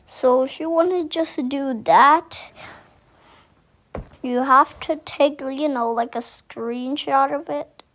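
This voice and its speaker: A woman giving a casual how-to tutorial, speaking haltingly and repeating herself as she thinks aloud. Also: high voice, fairly bright tone. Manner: halting, repetitive, thinking aloud while demonstrating. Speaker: tutorial host.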